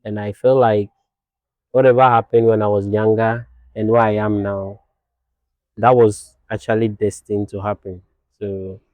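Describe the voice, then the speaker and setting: A young man from Ghana, born in Nigeria, a fashion designer speaking as a guest in a casual interview, soft-spoken and reflective. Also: lowish voice, no room sound.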